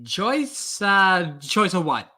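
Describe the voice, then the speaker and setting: A man's voice: high-pitched, sing-song, drawn-out words, noisy background, heavily acted. A man acting in an old-time radio drama as a wry, well-spoken radio actor who is easily flustered. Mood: puzzled, alarmed.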